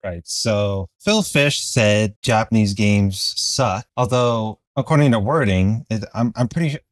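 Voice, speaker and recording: A man with a fairly low voice talks through casual commentary, recorded dry and close with little room sound. He rambles hesitantly, working out his thoughts as he goes like an online video commentator. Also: a little slow, no echo.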